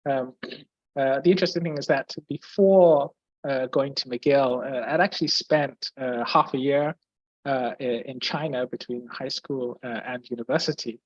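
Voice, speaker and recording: A young man from Brazil who speaks with an English accent, explaining physics in a question-and-answer session. He hesitates often, restarting phrases, filling gaps and clearing his throat. The call sounds slightly dull and dry, close and without room sound. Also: mid-range voice, steady tone, a little slow, dry recording. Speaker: guest physicist.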